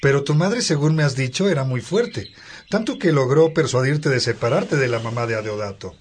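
A man's voice: mid-range voice, lively intonation, normal speed, close to an everyday voice. A man playing a curious, sympathetic companion asking questions in a radio drama. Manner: inquisitive, sympathetic. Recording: loud noise, birdsong ambience. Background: birdsong.

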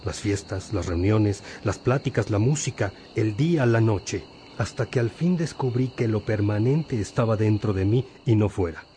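A man's voice: fairly low pitch, expressive, moderate pace, hardly any pauses, mellow, slight background noise, natural voice. An actor playing a wise, patient older priest who teaches his disciples reflectively in a religious radio drama. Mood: teaching. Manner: reflective, didactic.

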